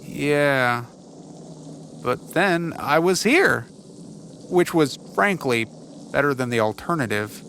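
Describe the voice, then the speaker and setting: A man playing an apologetic, accepting bug catcher in audio fiction, gentle, hesitant and plain-spoken. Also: a little high, lively intonation, slow pace, creaky, long pauses, a little hiss or hum, exaggerated voice. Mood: resigned, reassuring. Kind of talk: audio fiction character acting.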